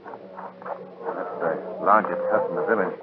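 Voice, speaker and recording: An actor playing a cool, wry gentleman adventurer in a 1940s radio drama, with a dry, calm manner. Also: deep voice, takes it slowly, loud music behind the voice. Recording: tinny 1940s radio recording.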